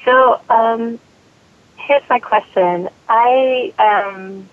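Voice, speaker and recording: A woman from South Carolina calling a radio show, hoarse from a cold, asking her question haltingly with many ums and likes. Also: a little high, no echo. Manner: hesitant, lots of likes and ums. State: has a cold, losing her voice. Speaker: caller. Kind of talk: phone-in question. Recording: tinny dry phone line.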